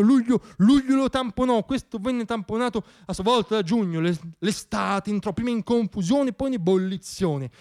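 A man's voice: fairly high pitch, darkish tone. A man performing a theatrical narrative monologue, with a dramatic, rhythmic storyteller's delivery.

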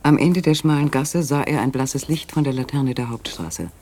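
Male narrator for radio drama, mid pitch, measured and suspenseful, faint ambience behind. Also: a little mellow, slight background noise. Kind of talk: scripted literary narration in radio play.

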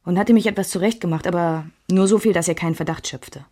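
Actress as low, husky, wistful woman remembering love. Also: fast, warm tone, no room sound, clean studio sound, natural voice. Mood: tender, intimate. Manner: reflective, alternates German with English phrases. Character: woman recalling a love triangle, wistful and candid. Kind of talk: intimate first-person scripted radio narration.

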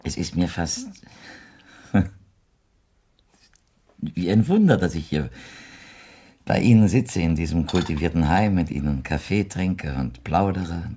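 An actor playing a blind widowed former musician with a flowery, hesitant, formally polite and ingratiating way of speaking. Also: male voice, low-pitched, sing-song, a little slow, pauses often, dark tone, slightly rough, full character voice. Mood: grateful, courtly. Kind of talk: German radio drama acting.

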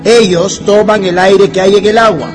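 A man's voice: high voice, fairly even intonation, moderate pace, faint music behind, lightly acted. A man playing a stern, didactic schoolteacher in a radio drama, speaking with authority. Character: stern, patient-paced male schoolteacher quizzing pupils.

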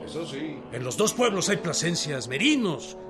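An actor playing a bold, defiant Mexican peasant leader in a radio drama, speaking with commanding emphasis. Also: male voice, fairly high pitch, sing-song, moderate pace. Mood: firm, conciliatory. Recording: radio drama with loud music and crowd.

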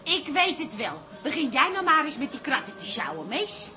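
A woman's voice: sits high, sing-song, a little fast, bright, forceful tone, soft background music, exaggerated voice. A woman playing a bossy, mocking Dutch pub landlady in a radio play, with a blunt, scolding, colloquial way of talking. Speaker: actor in a radio comedy drama.

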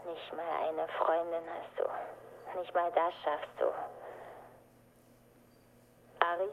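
A woman's voice: fairly low pitch, little pitch movement, unhurried, phone-quality sound, a crowd in the background, close to an everyday voice. An actress playing an enigmatic, blunt woman in a German radio drama, laconic and commanding in an understated way. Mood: curt, commanding.

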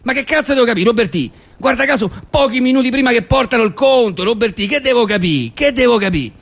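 A man's voice: very high-pitched, expressive, quick pace, gravelly, pitched above the performer's own voice, full character voice. A man performing a nostalgic, grumbling old grandfather in a comic monologue, with a Roman accent and a stammering, repetitive, sweary way of talking. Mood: indignant. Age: older. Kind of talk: comic monologue at a live reading.

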